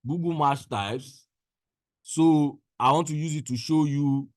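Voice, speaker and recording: A man presenting a screen-share software tutorial in an instructional way, repeating phrases for emphasis. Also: fairly high pitch, unhurried, no echo, studio quality. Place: indoors, voice-over on a screen recording.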